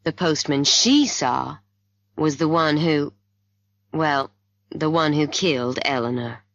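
A woman voicing a steady, kindly male doctor in an audiobook mystery, calm and frank in manner. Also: low voice, no room sound, dull audio, full character voice. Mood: grave, gentle. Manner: calm, deliberate, reassuring.

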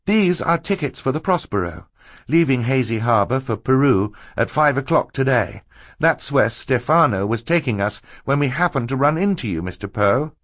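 Man voicing an earnest young girl, pitched up. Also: animated, moderate pace, fairly bright tone, no echo. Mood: urgent, insistent. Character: determined, exasperated young girl explaining a villain's plot.

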